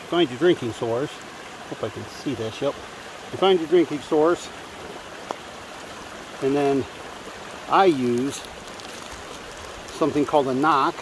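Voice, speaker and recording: A man vlogging casually while hiking a forest trail, chatting into his phone and sometimes out of breath. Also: fairly high pitch. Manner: chatty, narrating while walking. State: out of breath at times. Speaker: hiker vlogger. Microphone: handheld phone. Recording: faint wind and stream noise.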